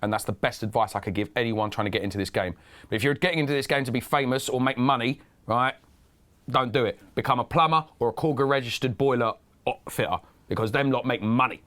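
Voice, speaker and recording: A man's voice: mid-range voice, fast talker, a bit bright. A man in his thirties who grew up in Russia, a guest actor and filmmaker talking casually, often stumbling and restarting his sentences. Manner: stammering restarts, chatty, laughs often. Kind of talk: casual interview.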